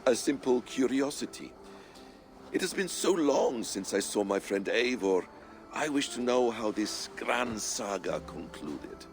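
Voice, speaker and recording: An actor playing a calm, calculating ancient man in a video game, courteous but superior, with measured formal speech. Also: mid-range voice, faint music behind, close to an everyday voice. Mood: cool, wry. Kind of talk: dramatic video game acting.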